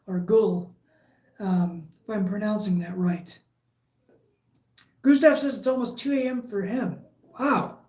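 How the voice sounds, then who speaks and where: A woman with a Michigan accent talks loosely to her live chat, stopping and restarting her sentences. Her voice sits at a middling pitch. An echo from the room lingers around every word. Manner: relaxed and halting, with frequent fillers and restarts. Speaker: live stream host. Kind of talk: casual live chat, reading viewer comments. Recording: echoey room.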